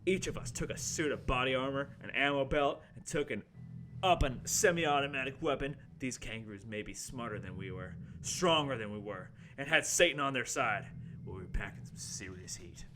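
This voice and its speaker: A man's voice: mid-range voice, animated, moderate pace, sharp tone, faint music behind. A man acting a theatrical, portentous intern hero who narrates a horror-comedy in a radio play table read.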